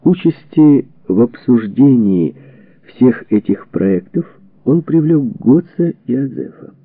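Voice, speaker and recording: A man reading a historical book as a scripted narration, in measured, deliberate phrases. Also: mid-range voice, expressive, dry recording. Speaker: audiobook narrator. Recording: narrow phone-like band, radio-like sound.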